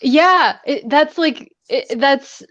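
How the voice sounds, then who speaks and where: A woman co-hosting a casual book chat on a livestream, informal and a little halting. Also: high-pitched, a bit bright, no room sound, highs cut off. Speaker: livestream co-host discussing a book. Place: indoors, livestream call.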